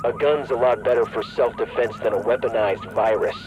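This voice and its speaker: A male actor as a skeptical associate in a video game, with a mid-pitched voice. He is pointed and disapproving, and clear background noise sits behind him. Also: fairly quick, lightly acted. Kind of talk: cinematic video game acting.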